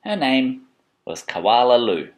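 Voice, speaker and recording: A man reading a children's picture book aloud in an expressive, playful way, with chatty asides to a child. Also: mid-range voice, slow pace, a little hiss or hum. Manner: expressive, playful, dramatic storybook emphasis. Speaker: parent reading a bedtime story to a child.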